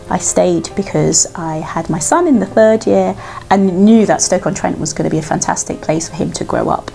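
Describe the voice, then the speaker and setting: A woman's voice: fairly low pitch, a little mellow. A woman from Trinidad, raised in London and now a poet, telling her story in a thoughtful, playful way. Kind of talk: personal testimony.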